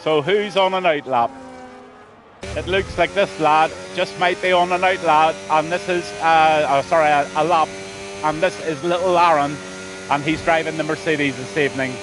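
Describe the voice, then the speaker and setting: A man's voice: a little high, fairly even intonation, moderate pace, background noise. A man with a Scouse accent commentating a sim race on a live stream, greeting viewers by name and letting his voice trail off at the ends of lines.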